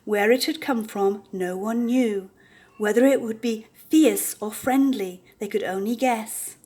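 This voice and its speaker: An older woman reading a children's picture book aloud with deliberate, theatrical expression. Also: fairly high pitch, a little mellow.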